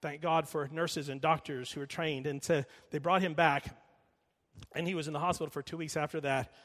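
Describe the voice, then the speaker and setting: Middle-aged male pastor, emphatic sermon delivery with a fairly high voice, recorded in a church sanctuary with long reverb. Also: fairly even intonation. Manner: emphatic, slows down and repeats for emphasis, drops quiet when storytelling. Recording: long reverb of a large hall.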